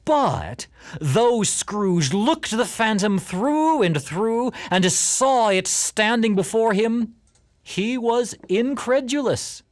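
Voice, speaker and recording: A woman's voice: a little high, expressive, slow, darkish tone, no room sound. A woman narrating a story for audio theatre in a theatrical, suspenseful way. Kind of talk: dramatic scripted storytelling narration.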